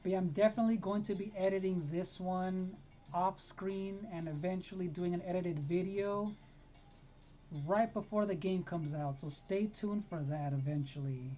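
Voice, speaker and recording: A woman's voice: fairly low pitch, normal speed, dark tone, faint music behind. A woman whose first language is Spanish, chatting casually with viewers on a live art stream while she draws, greeting them by name and laughing often.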